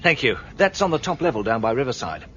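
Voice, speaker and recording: A man playing a hard-bitten, sardonic security chief in a 1970s sci-fi radio drama, brisk and decisive. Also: medium pitch, big pitch swings, a bit bright. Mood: grimly decisive. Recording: dull lo-fi recording, faint noise.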